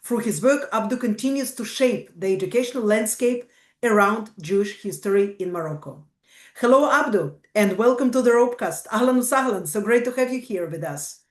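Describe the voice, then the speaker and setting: Woman, podcast host, measured, formal, reverberant room. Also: a little high, animated. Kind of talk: scripted podcast introduction and questions.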